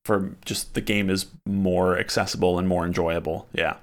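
A man's voice: low voice, little pitch movement, normal speed. A man co-hosting a casual podcast about games, talking in a hesitant way with many restarts. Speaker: podcast co-host. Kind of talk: casual chat.

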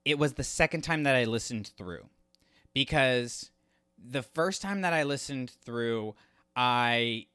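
A man's voice: medium pitch, pushed and bright, smooth, no room sound, clean studio sound. A man co-hosting a podcast, discussing stories in a casual, opinionated, conversational way. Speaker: podcast co-host.

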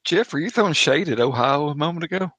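A man's voice: a little high, lively intonation, fast, a bit bright, a little hiss or hum. A man hosting a podcast interview in an informal, joking way. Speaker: podcast host. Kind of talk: casual interview chat.

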